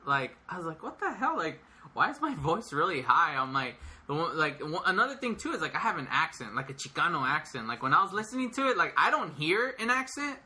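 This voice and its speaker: A man in his thirties with a high voice, rambling and laughing often as a podcast co-host in casual chat. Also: sing-song, a little fast, pushed and bright. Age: 30s.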